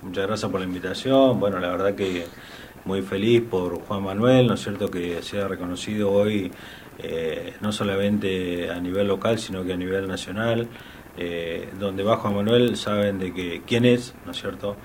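A man from southern Patagonia with an Argentine Spanish accent, a guest on a radio show, talking haltingly with many fillers. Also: low voice, fairly even intonation, a little mellow, a little hiss or hum. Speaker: guest musician. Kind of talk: radio interview chat.

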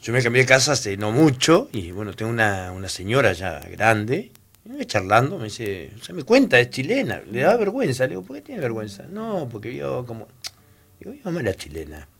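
A man's voice: mid-range voice, big pitch swings, brisk, creaky, fairly bright tone, husky. A man hosting a casual chat and retelling anecdotes with repeated "digo". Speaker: host.

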